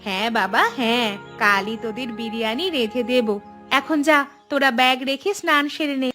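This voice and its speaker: A woman voicing a kind, caring village mother and farmer's wife in a cartoon, affectionate and expressive. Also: a little high, brisk, soft background music. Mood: reassuring. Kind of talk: cartoon voice acting.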